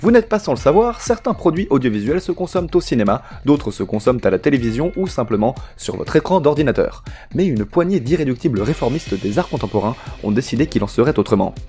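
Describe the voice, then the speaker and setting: A man's voice: mid-range voice, very animated, fast talker, few pauses, warm tone, faint music behind. A man hosting a parody news show, delivering scripted comedy with mock-pompous announcer gravity.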